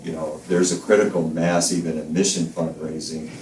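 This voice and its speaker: A man's voice: very deep voice, little pitch movement, normal speed, darkish tone, slight background noise. A middle-aged man, a missionary, speaking steadily and affirmingly in a casual panel conversation. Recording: roomy, mic at a distance.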